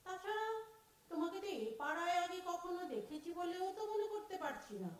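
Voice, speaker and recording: A woman's voice: extremely high pitch, very animated, fairly quick, far from the mic. A woman playing a startled, scolding lady of the house in an audio drama, exclamatory and questioning. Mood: suspicious. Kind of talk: Bengali audio drama acting. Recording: echoey room.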